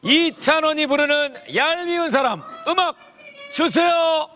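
A middle-aged man hosting a variety show in an excitable, exclamatory way, talking with a caller from a TV studio stage. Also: very high voice, unhurried, background noise. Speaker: TV show host.

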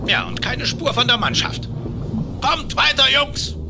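A man's voice: extremely high pitch, expressive, a little slow, background noise, heavily acted. A man playing a proud, grumpy old duck uncle in a German cartoon audio play, grumbling and exclaiming. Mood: grumbling, defiant. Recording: music behind the voice.

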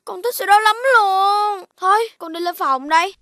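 A performer voicing an eager, childlike little boy puppy in a children's cartoon, with a Southern Vietnamese accent and expressive, exclaiming delivery. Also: extremely high pitch, normal speed, no room sound, heavily acted. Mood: excited, wishful. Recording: loud music under the voice.

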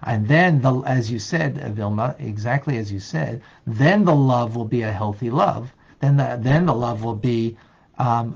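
A man's voice: mid-range voice, vocal fry, breathy edge, lo-fi sound. An older man, a rabbi, teaching an interactive online class, speaking reflectively with many restarts and fillers. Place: office with desk. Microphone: webcam on video call.

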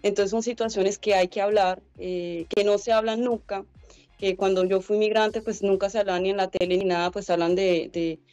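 A woman's voice: medium pitch, flat delivery, moderate pace, a little mellow, dry recording, dull audio, faint music behind. A young Colombian woman answering interview questions over a video call from a room at home, earnest and explanatory, with many "eh" and "pues" fillers. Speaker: guest, head of a migrant organization. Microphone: laptop webcam on a video call.

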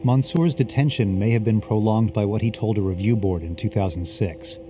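A male reporter narrating a documentary voice-over in a measured, even manner. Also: on the low side, background noise. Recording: voice-over under music.

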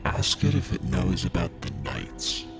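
A man acts out a sinister, possessive voice in someone's head for a tabletop game. It is deep and slow, commanding and full of threat, over clear background music. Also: huge pitch swings, exaggerated voice. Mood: threatening. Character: menacing, possessive male voice inside someone's head.

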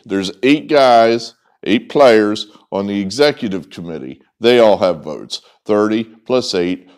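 Middle aged guy doing a sports rant vlog, slow and halting, mid pitch, leans hard on words. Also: a little mellow, clean tone. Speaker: sports vlogger, host. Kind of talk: opinionated sports commentary, unscripted monologue. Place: indoors, small wood-walled barn room with whiteboards.

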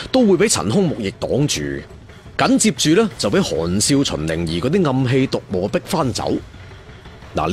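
A man with a Cantonese accent narrating a martial arts story dramatically, with conversational asides. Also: medium pitch. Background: faint music.

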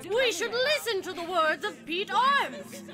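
A woman's voice: very high voice, expressive, a little slow. An actress playing an indignant, superstitious townswoman who vehemently defends tradition in an audio drama.

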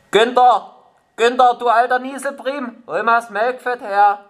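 A man voicing a polite, eccentric elderly prank caller in a stilted, formal, deadpan manner. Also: extremely high pitch, slow pace, heavily acted. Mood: shouting. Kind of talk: improvised prank phone call. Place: small home kitchen, indoors.

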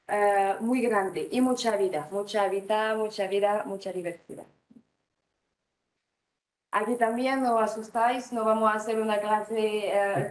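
A woman from France with a French accent, giving a presentation at a panel, hesitant and full of fillers. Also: mid-range voice. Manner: hesitant, many fillers, non-native phrasing. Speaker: speaker at a panel. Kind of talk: presentation talk. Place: conference hall, standing before a screen. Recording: echoey conference hall.

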